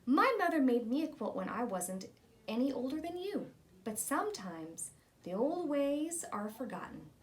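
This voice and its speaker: Storybook grandma, played by a woman: elderly, folksy, fond, proud, lively intonation. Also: high voice, a little slow. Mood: gently correcting. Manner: folksy dialect with ain't and gonna. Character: wise, patient old grandmother, fond and proud. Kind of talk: children's storybook read-aloud.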